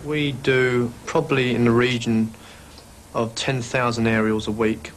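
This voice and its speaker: A man's voice: medium pitch, little pitch movement, measured pace, slight background noise, low-quality recording. A man in the radio trade giving interview answers.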